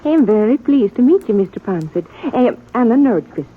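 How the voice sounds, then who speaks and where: An actress playing an earnest, trusting young immigrant bride-to-be in a 1950s radio western, speaking broken English with a Swedish accent. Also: female voice, a little high, big pitch swings, fairly quick, husky. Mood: trusting, polite. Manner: simple broken English, plain and stubbornly sincere. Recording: tinny, band-limited old radio recording.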